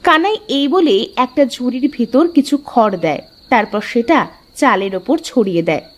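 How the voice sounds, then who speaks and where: A woman's voice: on the high side, lively intonation, moderate pace. A woman narrating a scripted cartoon folk tale as a storyteller.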